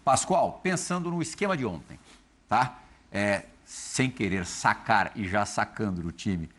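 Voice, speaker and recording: Low-voiced Brazilian sports host. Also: male voice, lively intonation, unhurried, fairly bright tone, husky. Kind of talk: TV sports debate.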